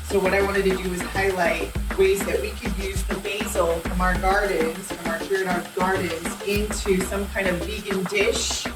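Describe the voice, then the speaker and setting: Woman hosting, echoey room, loud music. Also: medium pitch, little pitch movement, measured pace, hardly any pauses. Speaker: host and supervisor. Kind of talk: casual show introduction. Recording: echoey room from a distant mic.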